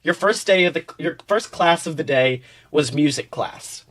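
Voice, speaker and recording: Male podcast narrator, somewhat high pitch, theatrical second-person storytelling. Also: normal speed, a bit bright. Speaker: podcast host reading fan fiction.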